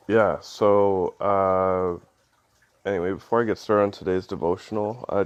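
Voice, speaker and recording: Man, deep and congested, nasal from a head cold; easygoing devotional talk full of fillers, dull lo-fi sound. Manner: loose and conversational, many ums and uhs. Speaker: devotional video host. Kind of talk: casual spoken devotional.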